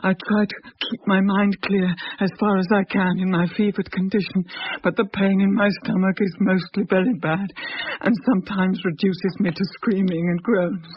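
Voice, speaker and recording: An actress playing a despairing young widow in a radio drama, plaintive and halting. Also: female voice, mid-range voice, level tone, a little hiss or hum, natural voice. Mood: desperate, bitter. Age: young adult. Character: possessed woman voicing a starving, despairing young English widow. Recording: dull, lo-fi old radio recording.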